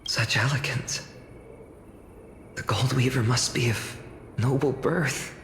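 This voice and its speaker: An actress playing an earnest, humble young village sword trainee in a video game. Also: female voice, rumbling low, steady tone, takes it slowly, fairly bright tone, a little hiss or hum, exaggerated voice. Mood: awed, hesitant. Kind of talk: scripted game voice acting. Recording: echoing hall reverb, faint music.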